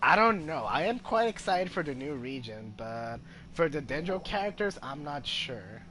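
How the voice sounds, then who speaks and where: A man's voice: on the high side, very animated, normal speed, sharp tone, slight background noise. A man giving casual gameplay commentary, rambling hesitantly and sounding amused. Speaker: gamer, video host.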